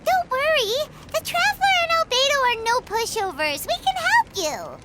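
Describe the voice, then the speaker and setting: A woman's voice: extremely high pitch, sing-song, normal speed, heavy background noise. A woman voicing a tiny, chirpy and nosy female fairy companion in a video game, who talks about herself in the third person. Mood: accusing.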